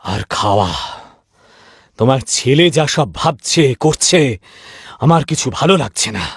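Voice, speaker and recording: A man's voice: medium pitch, lively intonation, unhurried, breathy edge, dry recording, clean studio sound. A man playing an ageing, principled sweet-shop owner and stern father in a cartoon tale, speaking earnestly and emphatically. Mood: upset. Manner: earnest, indignant when crossed, emphatic repeated questioning. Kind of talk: cartoon fairy-tale voice acting.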